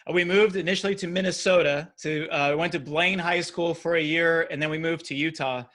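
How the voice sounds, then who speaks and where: A man's voice: on the high side, steady tone, brisk, a bit bright. A man in his forties from Brazil and the United States, speaking casually and animatedly as an interview guest, repeating words for emphasis. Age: 40s.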